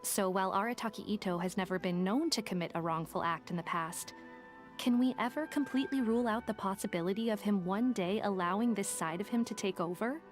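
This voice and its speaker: Woman acting savvy lady detective in a game, medium pitch, cool and articulate, knows her price, faint music. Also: a little fast, close to an everyday voice. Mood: matter-of-fact. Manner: composed, articulate, businesslike. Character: shrewd, self-assured female detective for hire. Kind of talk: English video game voice acting, explanatory dialogue.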